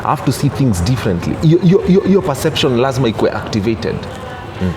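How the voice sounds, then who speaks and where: A man's voice: mid-range voice, sing-song, fast, over music. Emphatic Kenyan man in his thirties. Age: 30s. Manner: emphatic, repeats "unanishika?" to check understanding. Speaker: interview guest, gospel DJ. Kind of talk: casual interview, mixing English and Swahili.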